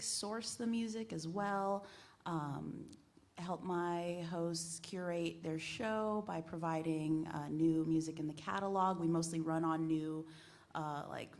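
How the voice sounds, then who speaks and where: A woman with a lowish voice speaks casually as a panelist at a live event. She introduces her work as a radio host off the cuff, pausing between phrases. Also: a little mellow. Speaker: radio program director and morning host.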